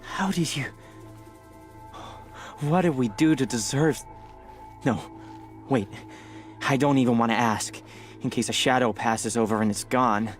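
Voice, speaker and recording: An actor playing a humble, anxious brother in a video game, hesitant and earnest. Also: male voice, mid-range voice, measured pace, long pauses, soft background music, lightly acted. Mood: stunned, hopeful. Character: humble, grateful, anxious brother of sick girl. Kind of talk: cinematic video game acting.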